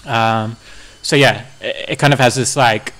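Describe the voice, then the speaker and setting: A man's voice: mid-range voice, fairly even intonation, a little slow, fairly bright tone. A young man from New Zealand, a musician guest talking casually and rambling, with many fillers and restarts. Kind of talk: casual interview chat.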